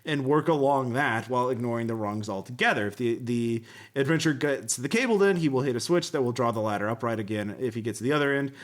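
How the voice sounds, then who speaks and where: Man, mid-pitched voice, podcast host, casual gaming talk, conversational delivery. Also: fast talker. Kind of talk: casual tabletop gaming talk, reading rulebook passages aloud.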